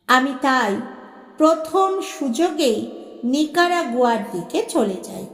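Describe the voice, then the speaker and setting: A woman storyteller voicing a grave, knowing male plant collector who explains things slowly and deliberately in story dialogue. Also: sits high. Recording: roomy echo with long reverb.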